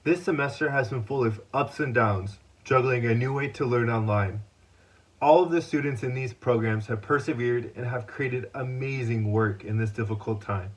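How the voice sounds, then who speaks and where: A man presenting a showcase with a formal, scripted welcome speech, earnest and deliberate. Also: mid-range voice, rough recording.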